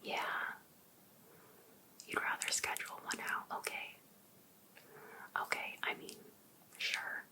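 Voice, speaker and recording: Woman playing a slow-whispering, possessive female life coach. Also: natural voice. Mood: pushy, coaxing. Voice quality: breathy unvoiced whisper. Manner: coaxing, deliberate, insistent. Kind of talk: ASMR roleplay.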